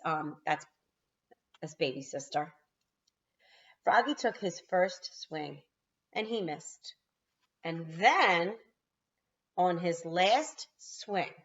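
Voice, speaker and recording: A woman's voice: lowish voice, fairly bright tone. A woman kindergarten teacher reading a storybook aloud, with explanatory asides to young children, on slightly lo-fi audio.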